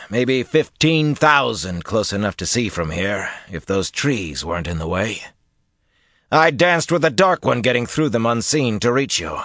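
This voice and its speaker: A man's voice: mid-range voice, a bit bright, no room sound, pitched above the performer's own voice, exaggerated voice. A man puts on a seasoned general in a fantasy audiobook. He is weary and wry, talking bluntly like an old campaigner, in a dry, close sound. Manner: blunt, wry soldier's talk.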